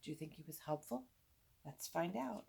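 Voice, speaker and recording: Animated woman reading a children's storybook. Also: low-pitched, creaky, husky. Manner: expressive, drawn-out emphasis on repeated words. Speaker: storytime reader, teacher-like host. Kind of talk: animated children's read-aloud with asides to young listeners.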